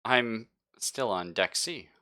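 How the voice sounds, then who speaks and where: A man's voice: on the low side, takes it slowly, bright, forceful tone. A man voicing a dutiful but evasive female android crew member in a tabletop game, speaking formally in clipped, detached phrases.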